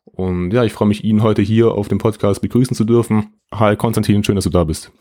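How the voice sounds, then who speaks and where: A man hosting a business podcast, relaxed and chatty, speaking while he has a slight cold and leaning on filler sounds as he asks questions. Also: lowish voice, a little fast. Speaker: podcast host. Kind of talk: casual interview hosting.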